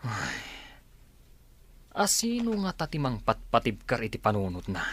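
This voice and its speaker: A man playing a gruff accomplice in a scheming plot in an audio drama. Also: on the low side, wildly swooping pitch, moderate pace, fairly bright tone, heavily acted. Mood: suspicious.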